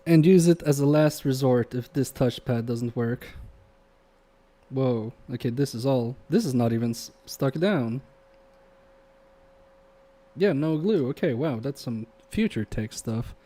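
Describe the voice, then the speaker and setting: A man's voice: medium pitch, measured pace, pauses often, warm tone. A young man whose first language is Polish, chatting casually with a live-stream chat while repairing electronics at his workbench and muttering to himself as he works. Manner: chatty, reads chat aloud, trails off muttering while working. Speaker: livestream repair host.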